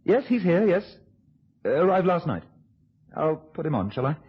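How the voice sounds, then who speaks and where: An actor playing a scheming, controlling husband in a radio thriller, instructing with quiet urgency. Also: male voice, fairly high pitch, very animated, normal speed, breathy edge, exaggerated voice. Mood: feigned casual. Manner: urgent, instructing, hushed asides to an accomplice. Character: scheming husband, controlling, quick-thinking, coolly plotting a deception. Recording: muffled, dull old radio drama recording.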